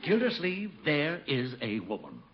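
An actor playing an absent-minded middle-aged husband in a radio comedy, halting, vague and earnestly smitten. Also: male voice, fairly high pitch, huge pitch swings, background noise. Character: absent-minded, slow-witted husband smitten with his sister-in-law. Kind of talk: 1950s radio comedy acting. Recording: muffled old radio recording.

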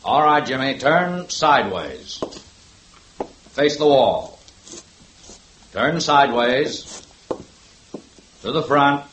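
A commanding police sergeant. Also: male voice, mid-range voice, animated, slow, pauses often, background noise. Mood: firm, official. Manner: firm, orderly, barks instructions. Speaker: police sergeant running the lineup. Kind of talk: 1950s radio drama acting. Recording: echoey old radio broadcast.

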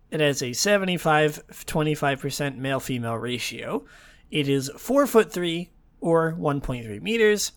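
A young man hosting a casual chat show, talking in a wry, rambling way full of fillers, his voice slightly hoarse. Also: fairly high pitch, fairly bright tone. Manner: chatty, wry, lots of fillers and restarts. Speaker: podcast host.

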